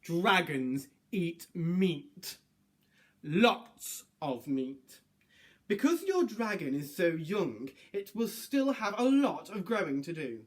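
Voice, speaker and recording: A man's voice: high voice, big pitch swings, exaggerated voice. A man voicing a greedy, hungry butcher in a children's storybook, savouring his words. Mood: relishing. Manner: slow, savouring, drawn-out words. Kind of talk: children's storybook read-aloud.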